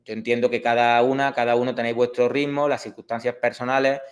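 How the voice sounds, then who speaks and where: A man's voice: mid-range voice, flat delivery, fast. Male teacher, 30s, Andalusian accent, hesitant, casual lecturing tone. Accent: Andalusian Spanish. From Córdoba, Spain. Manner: hesitant, many fillers and restarts. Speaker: exam prep teacher. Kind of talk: online course introduction.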